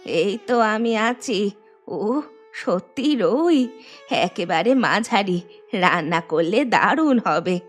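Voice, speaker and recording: A woman's voice: medium pitch, animated, soft background music. A woman voicing an elderly Bengali mother-in-law in a cartoon, firm and traditional, fondly chiding and instructing. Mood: pleased, musing. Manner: unhurried, instructive, gently chiding. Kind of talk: animated moral story voice acting.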